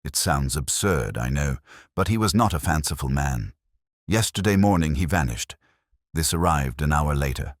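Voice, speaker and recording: A man's voice: very deep voice, expressive, normal speed, no room sound, clean studio sound. A man voicing an anxious, genteel young woman in an audiobook mystery, polite and hesitant in manner. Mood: worried, earnest. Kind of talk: dramatised detective audiobook.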